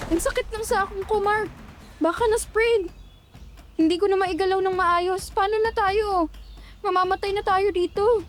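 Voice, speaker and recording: A woman's voice: very high-pitched, fairly even intonation, moderate pace. A young woman acting a frightened, breathless girl running from a monster in a horror audio drama. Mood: despairing. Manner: panicked, pleading.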